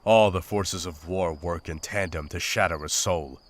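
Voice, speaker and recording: A man playing a grim, war-weary monster hunter in a visual novel, speaking in a solemn, formal, measured way. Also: sits low, lightly acted. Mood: somber. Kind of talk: visual novel voice acting, dramatic journal narration and dialogue.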